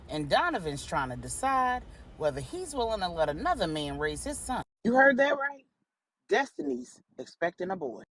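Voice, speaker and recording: A woman narrating a story dramatically, in deliberate short phrases with pauses between them. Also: mid-range voice, husky, no echo, low-quality recording. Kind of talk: dramatic bedtime-story narration for grown-ups.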